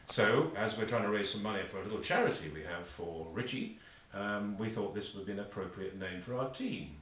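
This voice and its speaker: A man in his seventies with a Lancashire accent, answering audition questions as team captain, halting with ums and pauses. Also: on the low side, animated, fairly bright tone. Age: 70s. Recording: echoey room, mic far off.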